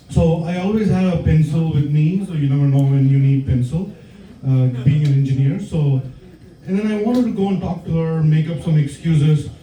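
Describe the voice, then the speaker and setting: Man, South Indian accent, dark mellow voice, hesitant, lots of ums, roomy club sound. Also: a little high, recorded from a distance. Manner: hesitant, filler-heavy storytelling with many ums. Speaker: stand-up comedian. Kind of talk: stand-up set. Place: comedy club stage.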